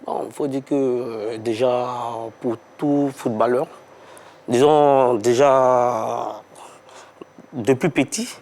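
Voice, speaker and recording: A man, a former footballer, giving halting, laid-back answers. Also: medium pitch, fairly even intonation, darkish tone. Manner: hesitant, laid-back answers with many fillers. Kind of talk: interview answers.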